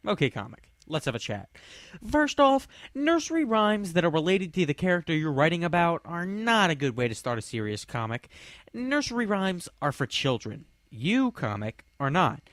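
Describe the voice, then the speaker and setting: Young guy ranting sarcastically about a comic, bouncy pitch, dry close mic. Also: big pitch swings, normal speed, a bit bright, no echo. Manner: snarky, mocking asides. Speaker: comic reviewer. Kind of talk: sarcastic scripted review.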